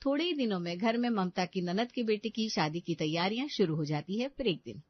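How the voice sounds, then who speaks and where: A woman's voice: mid-range voice, lively intonation, a little fast, no echo. A woman narrates a moral family story as a scripted read. The recording is very dry, with a dull, lo-fi top end.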